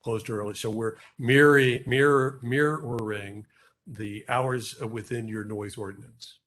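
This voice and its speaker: Project representative answering council questions, mid-pitched, halting and explanatory. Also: male voice, steady tone, a bit bright. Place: council chamber.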